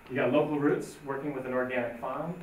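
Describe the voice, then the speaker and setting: A man's voice: medium pitch, steady tone, fast talker, phone-quality sound. A man leading a program info night, explaining plans in an upbeat, informal way. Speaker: program leader. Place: school meeting room. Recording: echoey room, distant mic, faint noise.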